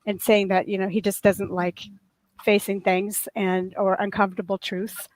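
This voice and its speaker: Woman panelist, soft, slightly raw voice, earnest long-winded storyteller, dry sound. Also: mid-range voice, flat delivery, normal speed, no room sound, low-quality recording. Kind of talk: casual group chat, storytelling.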